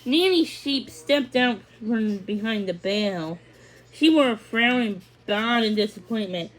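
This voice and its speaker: A woman's voice: a little high, lively intonation, measured pace, poor audio. A woman narrating a scripted children's story as a read-along.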